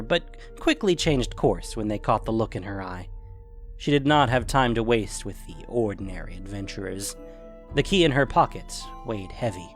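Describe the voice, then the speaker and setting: Male narrator for fantasy audio fiction, very lively intonation, deliberate phrasing, music beneath. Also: medium pitch. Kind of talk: dramatic scripted story narration.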